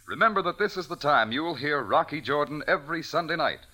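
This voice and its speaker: A male announcer in the 1940s radio style. His voice is a bit high and very sharp, with lively pitch swings. He delivers each phrase with punchy, polished emphasis. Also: fast talker.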